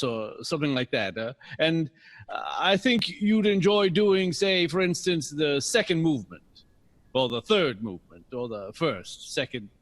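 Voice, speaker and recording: Animated, self-assured veteran bandleader. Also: male voice, on the high side. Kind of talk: audio drama acting as radio interview guest.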